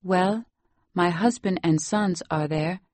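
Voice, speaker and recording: A woman's voice: on the low side, slow pace, no room sound. Patient immigrant nanny, female, forties, Salvadoran accent, hesitant and apologetic, played by a woman reading an audiobook. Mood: wistful. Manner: soft-spoken little breaths, hesitant.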